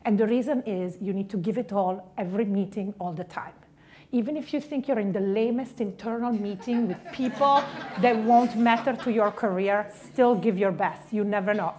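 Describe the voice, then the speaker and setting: A woman's voice: mid-range voice, darkish tone, slight background noise. A middle-aged woman from Italy with an Italian accent, giving a direct, punchy motivational career talk. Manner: direct, punchy, stressing key words.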